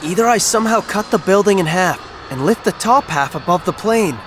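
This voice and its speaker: Brief: man playing a young male superhero, high and lively, heroic. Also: a little fast, background noise. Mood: muttering.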